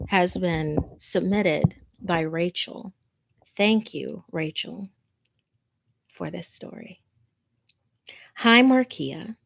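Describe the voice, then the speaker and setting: A woman's voice: lowish voice, gravelly. A woman, an informal and chatty host talking to viewers and reading out their stories. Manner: chatty, informal, easily amused. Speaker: host of a scary story show. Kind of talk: casual livestream chat and reading listener messages and stories aloud.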